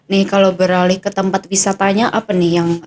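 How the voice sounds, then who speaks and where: A young woman hosts a relaxed podcast chat with a cadet guest. She is chatty and curious and keeps the talk moving with eager follow-up questions. Her voice sits at an ordinary middle pitch for a woman. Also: a little fast. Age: young adult. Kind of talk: casual podcast interview.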